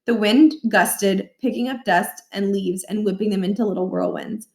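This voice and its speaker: A woman reading a children's novel aloud as expressive, scripted narration. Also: mid-range voice, moderate pace. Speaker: storybook reader. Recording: roomy echo.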